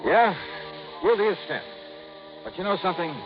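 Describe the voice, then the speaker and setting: A man's voice: high-pitched, animated, normal speed, music in the background. An actor playing a streetwise male insurance investigator in a 1950s radio drama, wry and clipped. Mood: dry. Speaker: lead character and narrator. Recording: hissy lo-fi old radio broadcast.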